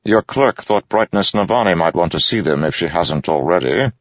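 A man voicing a composed, stiff male noble general who speaks in a measured, solemn way. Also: deep voice, lively intonation, no echo, pitched above the performer's own voice. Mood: formal. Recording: dull dry studio recording.

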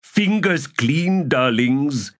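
An excitable male soldier as a man narrating acts him out, wheedling and falsely innocent. The voice sits high and leaps about, pleading like a caught cheat in a fantasy audiobook. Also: extremely slow. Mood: hurt innocence.

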